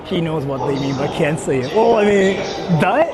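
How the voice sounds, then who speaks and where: Higher-pitched man streaming over street noise. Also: expressive, normal speed. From Japan. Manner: rambling, repetitive, filler-heavy with frequent "like". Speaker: live streamer. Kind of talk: casual livestream chat with viewers. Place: out and about in public while livestreaming. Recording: noisy outdoor urban and traffic ambience.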